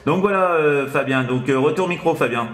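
A man's voice: on the high side, fairly quick, echoey room, very noisy. A man working as a radio operator, chatting casually and hesitantly over the air, often tagging his sentences. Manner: hesitant, tags sentences with "hein". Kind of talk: casual radio contact chat. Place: indoors in an apartment, at a radio set.

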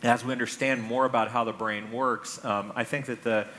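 Male conference speaker, American, mid-range pitch with a bright, tense edge, presenting on a big stage. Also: fairly even intonation, normal speed. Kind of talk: public talk on stage. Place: large conference stage indoors. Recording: stage mic with clear background noise.

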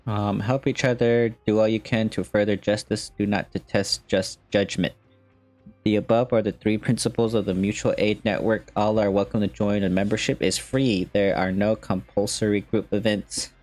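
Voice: sits low, flat delivery, normal speed, darkish tone, faint music behind. A young adult streamer giving casual gameplay commentary and reading game text aloud.

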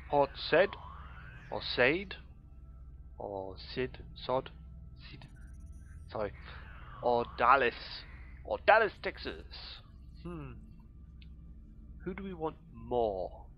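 Hoarse man, slow let's play commentary, rambling, dull mic, faint game music. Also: medium pitch, very animated, a little hiss or hum. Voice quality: rambling, self-correcting, mock-grand phrasing. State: hoarse, losing his voice. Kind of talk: casual gameplay commentary.

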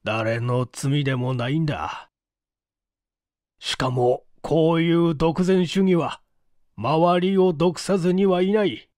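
A man reads as a tired, brooding police chief in an audiobook mystery. He speaks very slowly and ponderously, in a fairly high male voice, recorded close and dry. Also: darkish tone, no room sound. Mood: gloomy, pensive. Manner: slow, heavy, ponderous delivery. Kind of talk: audiobook character dialogue.

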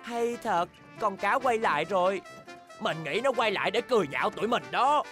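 A man's voice: sits high, expressive, normal speed, music in the background. A man voicing a cheerful, boastful young bear in a language children's cartoon dub, exclaiming with enthusiasm. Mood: excited.

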